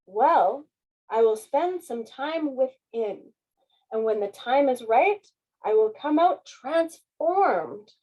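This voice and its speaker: Woman as a breezy, high-pitched storybook caterpillar. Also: animated, takes it slowly, darkish tone, muffled recording, exaggerated voice. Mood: serene. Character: serene, confident female caterpillar, cheerful about transforming.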